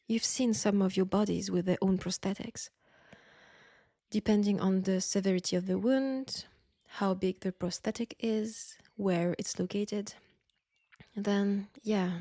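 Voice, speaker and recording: A woman acting as a caring French army nurse from the First World War in an intimate audio roleplay. Her voice is mellow and soft with some creak, coaxing and breathy yet firm. It is recorded close and dry. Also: medium pitch, no room sound.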